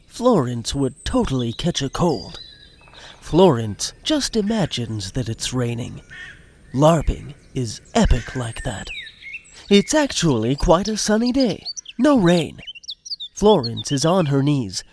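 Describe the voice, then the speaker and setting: Wry male narrator with lively pitch. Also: slow, a little hiss or hum. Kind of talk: scripted comic audio drama narration. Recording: music behind the voice.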